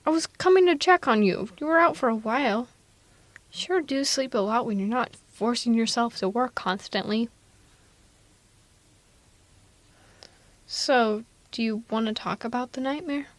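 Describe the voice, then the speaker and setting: Woman acting as a wry, higher-voiced female supervillain. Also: lively intonation, moderate pace, dry recording, close to an everyday voice. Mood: gentle, teasing. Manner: casual, wry, reassuring, exasperated. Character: female supervillain nemesis, blunt, protective, gently caring. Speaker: ASMR roleplay voice performer. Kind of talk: ASMR audio roleplay, conversational acting.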